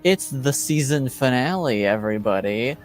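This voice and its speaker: A man's voice: medium pitch, expressive, normal speed, soft background music. A man speaking casually as the host and game master of a tabletop roleplaying stream.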